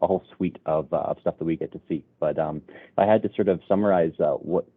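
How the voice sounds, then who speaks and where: Man, deep voice, phone-like call audio, dry, casual, upbeat. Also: fast, husky, no echo. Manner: casual, upbeat, many fillers. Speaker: automation specialist. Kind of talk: webinar self-introduction.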